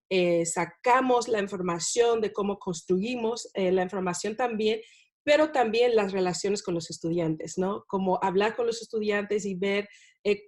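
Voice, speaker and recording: A woman, a non-native Spanish speaker, talking as a guest about teaching in a relaxed interview, with many fillers and tag questions. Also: mid-range voice. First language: not Spanish. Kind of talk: casual interview talk.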